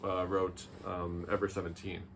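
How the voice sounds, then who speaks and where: A man's voice: low voice, monotone, normal speed. A man chatting casually as a visual novel reviewer, agreeable and loose in his phrasing, correcting himself as he goes. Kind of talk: casual conversational chat. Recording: faint noisy background.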